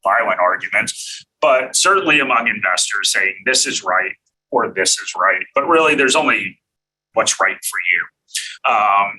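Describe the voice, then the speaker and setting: An American man hosting a live finance talk, answering viewer comments in a relaxed way and thinking aloud with many fillers. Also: on the low side, breathy edge, distant mic, poor audio. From United States. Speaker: host answering viewer questions.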